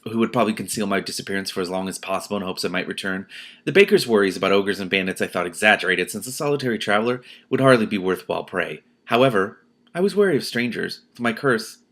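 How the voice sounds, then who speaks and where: Expressive low-voiced man reading aloud. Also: fast. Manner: expressive, exclamatory, breaks off to comment. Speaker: reader and commentator, host of a read-aloud series. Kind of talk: reading a novel aloud with spontaneous reactions.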